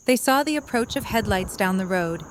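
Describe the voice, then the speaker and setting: Woman narrator, scripted audiobook narration, mid pitch, faint hiss. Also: expressive, normal speed.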